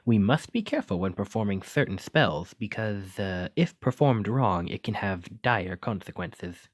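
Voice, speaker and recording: A man's voice: fairly low pitch, lively intonation, unhurried, no room sound. A man playing a clumsy, kindly wizard teacher in an ASMR roleplay, with theatrical, old-fashioned, encouraging phrasing. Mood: genteel, instructive. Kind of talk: ASMR roleplay addressed to the listener.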